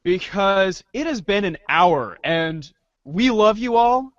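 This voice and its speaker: A man's voice: sits high, animated, slow, no echo, dull audio. A man hosting a podcast sign-off in a casual, playfully theatrical showman's manner. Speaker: host and cast member.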